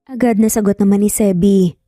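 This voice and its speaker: Mellow Filipino woman narrating a story. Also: mid-range voice, fairly even intonation, a little fast, no echo, clean studio sound. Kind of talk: scripted romance story narration.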